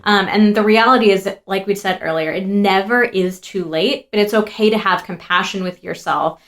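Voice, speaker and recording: A woman's voice: medium pitch, normal speed, a bit bright. Thirtysomething woman on a podcast, earnest, lots of likes and you knows. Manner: earnest, compassionate, many fillers. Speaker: podcast co-host, sex therapist. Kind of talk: casual podcast chat.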